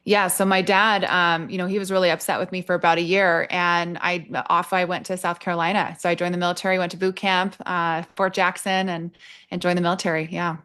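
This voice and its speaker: A woman's voice: lowish voice, flat delivery, a little fast, a bit bright, smooth. A middle-aged woman from England with an American accent, telling personal stories candidly and conversationally as an interview guest. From Nottingham, England. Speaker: podcast guest, army veteran working in HR.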